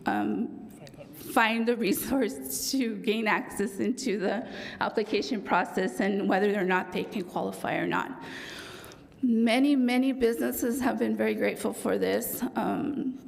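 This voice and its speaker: A woman with a raspy voice giving a formal presentation to a city council for a police department, with frequent ums and self-corrections. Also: a little high, a little mellow. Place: council chamber.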